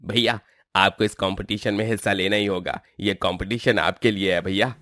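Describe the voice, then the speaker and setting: A man's voice: on the low side, steady tone, a little fast, fairly bright tone, no room sound, clean studio sound, lightly acted. A man playing an eager, insistent younger brother in a dramatized moral story. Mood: excited. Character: eager younger brother, excitable and later envious.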